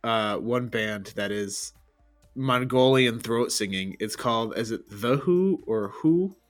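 A young man co-hosting a podcast in relaxed chat, with a deep voice, easygoing and quick to laugh. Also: faint music behind. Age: young adult. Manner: easygoing, laughs a lot, rambling with fillers. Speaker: podcast co-host. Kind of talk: casual chat.

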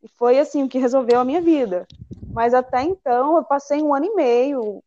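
High-voiced Brazilian woman chatting casually. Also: fairly quick, mellow, dull audio. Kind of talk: casual personal chat.

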